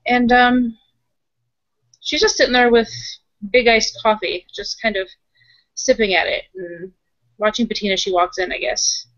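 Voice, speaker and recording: A woman's voice: fairly high pitch, steady tone, normal speed, long pauses, fairly bright tone, no echo. Woman roleplaying casually, dry muffled mic. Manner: informal, loose, self-correcting chatter. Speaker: tabletop roleplaying player. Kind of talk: casual improvised roleplay and narration. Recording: dry, slightly muffled voice-chat audio.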